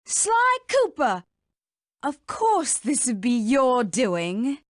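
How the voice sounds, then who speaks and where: Haughty, treacherous villainess, swooping delivery. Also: female voice, sits high, wildly swooping pitch, very slow, no echo, clean studio sound, heavily acted. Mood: angry, accusing. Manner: scornful, haughty, dramatic. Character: haughty, treacherous female villain, cartoon animal double-crosser. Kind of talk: video game villain acting.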